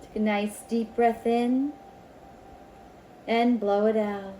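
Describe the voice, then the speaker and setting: A woman leading a spiritual circle in a slow, ceremonial manner, with guided meditation and invocations and pauses between phrases. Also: on the high side, flat delivery, mellow, a little hiss or hum, low-quality recording. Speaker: Reiki circle leader.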